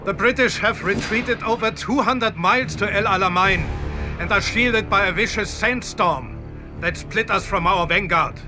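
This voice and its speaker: An actor playing a stern, determined army officer in a war strategy game, giving mission orders over dramatic music. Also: male voice, high voice, moderate pace, lightly acted. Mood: determined, defiant. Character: commanding German Afrika Korps officer, determined and stern.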